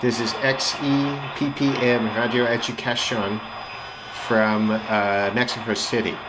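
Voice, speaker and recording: A man's voice: mid-range voice, flat delivery, a little slow, background noise. A man giving casual, off-the-cuff commentary as a radio hobbyist, thinking aloud hesitantly as he goes. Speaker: shortwave radio hobbyist host. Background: shortwave radio broadcasts and music.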